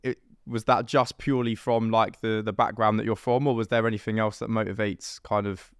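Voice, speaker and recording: Fast-talking male podcast host. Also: lowish voice, level tone, clean tone, no echo, clean studio sound. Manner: backchannels with frequent "mm", rambling questions with restarts. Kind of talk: casual interview.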